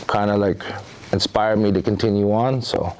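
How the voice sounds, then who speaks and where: A man's voice: on the low side, fairly even intonation, moderate pace, a little hiss or hum. A man from the Bahamas, a rapper, talking about his music in a loose, laid-back way. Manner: laid-back, loose, often says "you know". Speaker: rapper guest. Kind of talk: casual interview talk.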